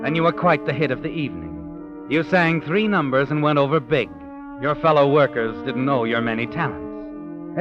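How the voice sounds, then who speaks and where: Sinister, high-pitched male radio narrator. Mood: insinuating. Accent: American. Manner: insinuating, needling, dramatic. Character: the Whistler, sinister all-knowing male narrator addressing a guilty woman. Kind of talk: 1940s radio suspense narration. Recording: dull vintage 1940s radio recording. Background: dramatic music.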